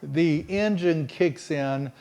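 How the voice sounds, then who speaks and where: A middle-aged man with a Texas accent preaches in a folksy, storytelling way. His voice sits a little high for a man. He slows down to lean on the phrases he wants to land. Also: darkish tone, lo-fi sound. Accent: Texan. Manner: folksy and conversational, with emphatic slowed phrases. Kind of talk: sermon-style storytelling.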